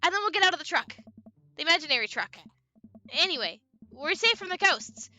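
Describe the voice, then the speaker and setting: A woman voicing an excitable, playful make-believer with a melodramatic, exclaiming manner. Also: very high voice, a little fast, voice pushed up, exaggerated voice. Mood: playful, dramatic. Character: excitable make-believer playing ghost truckers, dramatic, playful.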